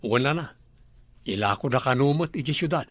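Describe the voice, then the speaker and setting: A man's voice: medium pitch, animated, a little fast, fairly bright tone, dry recording. A man playing an easygoing young village suitor. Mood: joking.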